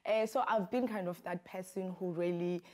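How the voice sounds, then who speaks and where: A woman's voice: fairly low pitch, moderate pace, dark tone. A woman from South Africa in her thirties, a human rights activist answering questions earnestly on a talk show, with many fillers and restarts. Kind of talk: talk show guest interview.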